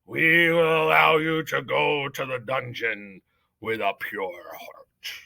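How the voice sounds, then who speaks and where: Storybook mouse elder, pompous and stern, deliberate booming delivery, slightly gravelly, put on by a male reader. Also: bright, forceful tone, no echo, heavily acted. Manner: booming, stern, slow and solemn. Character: pompous old male head mouse judge. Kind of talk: audiobook character reading.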